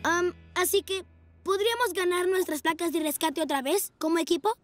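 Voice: very high voice, fairly even intonation, normal speed, soft background music. A performer voicing an eager, brave young rescue motorcycle in a children's cartoon, enthusiastic. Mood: earnest. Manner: enthusiastic, exclamatory. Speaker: cartoon voice actor. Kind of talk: animated children's cartoon dubbing.

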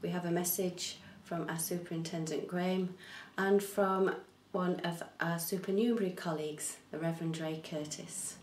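A woman's voice: on the low side, steady tone, moderate pace, a little mellow. A middle-aged woman speaking as worship leader, welcoming people to an online service from a small room. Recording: distant, roomy sound with faint hiss.

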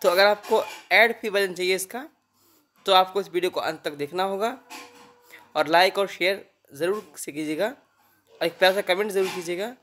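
A man's voice: high voice, moderate pace, a bit bright, a little hiss or hum. An Indian man with a sore throat, giving a casual app tutorial as the host.

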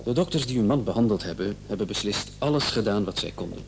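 A man's voice: on the low side, expressive, fast. A man in a radio drama playing a hospital doctor who is placating and condescending. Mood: defensive. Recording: old radio play, faint noise and hum.

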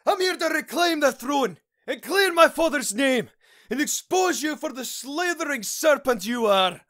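A man's voice: extremely high pitch, expressive, measured pace, fairly bright tone, heavily acted. A man acting an indignant young heroic knight, defiant and declamatory, in fantasy drama style. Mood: defiant, righteous.

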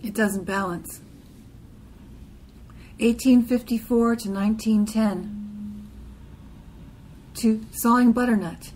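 A woman's voice: on the high side, steady tone, takes it slowly, pauses often, darkish tone, a little hiss or hum, low-quality recording. A woman reading a literary poem aloud as part of a group reading. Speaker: poetry reader.

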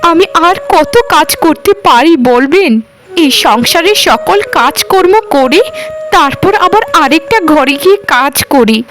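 A woman's voice: sits high, animated, a little slow, poor audio. A woman voicing an overworked young housemaid in a cartoon, pleading and protesting in a plaintive way. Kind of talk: Bengali cartoon dialogue.

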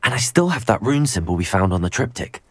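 A man acting as a level-headed, polite young student wizard hero in a video game, measured and formal. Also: on the low side, big pitch swings, fairly bright tone. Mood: composed, earnest. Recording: music behind the voice.

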